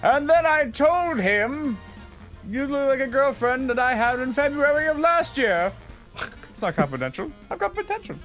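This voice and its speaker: A performer playing an emotional, goofy middle-aged comedian father in an anime game fandub, with drawn-out theatrical delivery. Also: high-pitched, big pitch swings, fairly bright tone. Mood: joking. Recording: light music behind.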